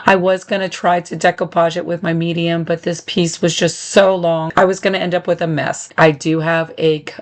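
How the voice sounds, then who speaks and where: A woman's voice: lowish voice, flat delivery, moderate pace. Woman with a slightly crackly, recovering voice. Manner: friendly step-by-step instructions with occasional ums. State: recovering voice, a little squeaky and crackly. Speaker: DIY upcycling channel host. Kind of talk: casual tutorial voice-over.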